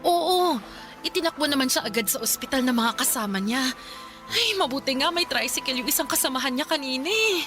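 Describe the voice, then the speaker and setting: A woman's voice: high-pitched, animated, fairly quick, over music. An actress playing a caring, harried mother who fusses and reassures in a radio drama. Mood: explaining, flustered. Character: caring, harried mother of a poor fishing family.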